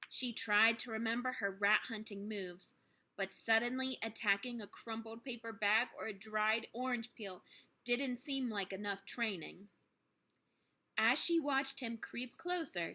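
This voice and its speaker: A woman's voice: medium pitch, sharp tone. A woman reading a children's book aloud expressively, with dramatic, emphatic phrasing for young listeners. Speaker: teacher reading a story aloud.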